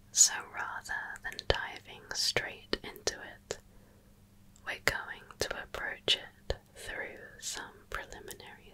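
Female ASMR reader: breathy whisper, crisp bright sibilance, soothing, unhurried meditation-guide delivery. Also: poor audio. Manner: slow, sleepy, soothing delivery. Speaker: ASMR channel host.

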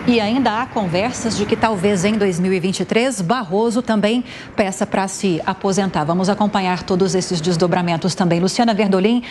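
Young female news anchor, mid pitch, measured studio read, faint hum behind. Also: a little mellow. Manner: measured, clear anchor delivery.

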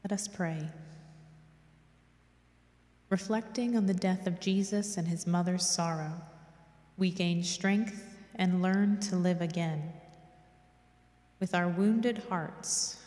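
A middle-aged woman giving a solemn, measured devotional reading at a lectern microphone in a church. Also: fairly low pitch, darkish tone. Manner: measured, reverent. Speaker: reader at a church devotional service. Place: large church, indoors. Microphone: gooseneck lectern microphone. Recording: echoey church hall with long reverb.

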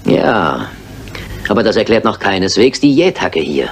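An actor playing a calm, shrewd master detective, wry and confident, in a German radio crime drama. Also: male voice, lowish voice, normal speed, slight background noise.

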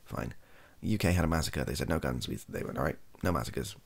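Deep, gravelly, soft-spoken British man. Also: animated, brisk. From Wales, UK. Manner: rambling, self-interrupting, dryly humorous. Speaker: comedy ASMR video creator. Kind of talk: improvised, softly spoken ASMR-style comedic commentary. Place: small cluttered bedroom or home office, indoors.

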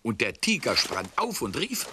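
A man narrating a children's story expressively, with deliberate, dramatic pauses. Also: medium pitch, wildly swooping pitch. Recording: clearly noisy soundtrack.

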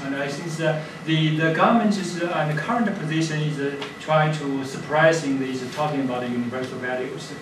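An older man answers as an academic panelist, haltingly, often repeating his words. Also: on the high side, steady tone. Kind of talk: answering questions in a panel discussion. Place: seminar room, indoors. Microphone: far camera. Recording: very echoey, distant, noisy room.

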